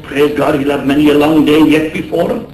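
A man plays a loyal Irish seaman in a radio drama. His voice sits fairly high and his phrasing is colourful and heartfelt. The recording is roomy. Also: flat delivery, brisk, a little mellow, a little hiss or hum, close to an everyday voice. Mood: indignant.

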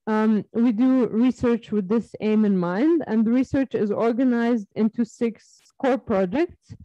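A woman in her thirties from Serbia, giving an academic webinar talk on her research, measured and full of ums and uhs. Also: on the high side, dark tone, no room sound. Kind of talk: academic presentation. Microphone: video call. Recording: dull lo-fi video call audio.

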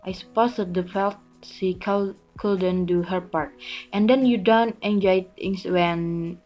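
A young woman playing a wistful, sympathetic farm wife in an amateur stage drama, with halting delivery. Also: medium pitch, fairly even intonation, natural voice. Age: young adult. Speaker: student actor in a class play. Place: small curtained room at home. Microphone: phone or laptop at arm's length. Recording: muffled narrow-band home recording, music behind.

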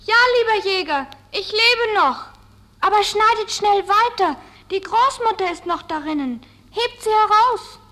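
Innocent little girl, very high, bright piping voice, polite, fairy-tale record drama. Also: animated, a little slow, full character voice. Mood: urgent. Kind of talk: 1970s German fairy-tale record acting. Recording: noisy old vinyl record.

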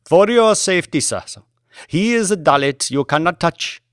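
A man's voice: a little high, lively intonation, moderate pace, clean tone, dry recording, studio quality, voice pushed up, natural voice. A male narrator acts out an Indian chauffeur in an audiobook, his voice raised and swooping. He speaks with an Indian accent, deferential and insistent, with a proud, callous streak. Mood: deferential, chatty. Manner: deferential, insistent, non-native grammar.

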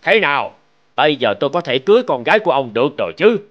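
A man's voice: a little high, big pitch swings, rapid-fire, highs cut off. A man voicing a proud, lovestruck and gullible lion in a cartoon fable, boastful and demanding. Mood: eager, confident.

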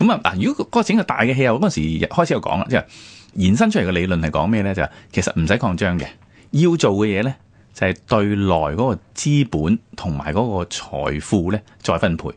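A man's voice: low voice, big pitch swings, fast talker, no echo. A man from Hong Kong with a Hong Kong Cantonese accent discusses history conversationally, explaining points with rhetorical questions. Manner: explanatory, with rhetorical questions and frequent sentence particles. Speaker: radio programme presenter. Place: radio studio.

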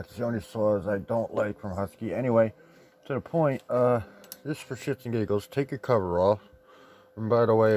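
Man, low voice, casual how-to talk, rambling, plain-spoken, a bit salty. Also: darkish tone. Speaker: chainsaw repair tip presenter. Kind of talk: casual hands-on tutorial.

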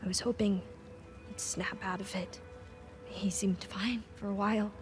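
An actress playing a gentle, worried teenage girl in a video game drama, speaking softly and haltingly. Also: medium pitch, steady tone, soft background music, lightly acted. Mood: sombre, regretful. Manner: hushed, halting, soft. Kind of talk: naturalistic video game cutscene acting.

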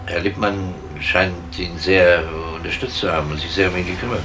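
An actor playing a sober, persistent police detective in a radio crime drama, questioning deliberately. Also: male voice, deep voice, fairly even intonation, background noise, close to an everyday voice. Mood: probing. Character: sober, persistent male police detective investigating a missing person.

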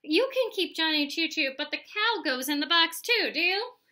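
Woman acting out a bossy, high-pitched exasperated mom. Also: big pitch swings, normal speed, sharp tone, heavily acted. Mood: loud, exasperated. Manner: stern, scolding. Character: exasperated, bossy mother of a young boy.

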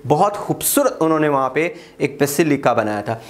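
A man gives an exam-prep history lecture. He gestures a lot, repeats key dates and often pauses with "uh". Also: on the high side. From Pakistan. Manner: animated, gestures widely, repeats key dates, frequent "uh" fillers. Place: small room in front of a whiteboard. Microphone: lapel mic.

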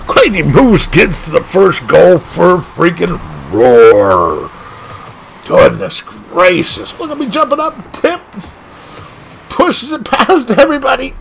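A man streaming a car soccer game, commentating his own play with excited outbursts and frustration at near misses. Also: very high voice, gravelly, slight background noise. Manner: animated, exclaiming, frustrated at missed shots. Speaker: gaming streamer. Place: home gaming room.

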